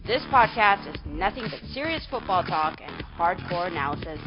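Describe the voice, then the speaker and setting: Lively low-voiced woman announcer over music. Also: moderate pace. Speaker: podcast intro announcer. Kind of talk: scripted promotional intro. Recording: dull lo-fi intro with loud music.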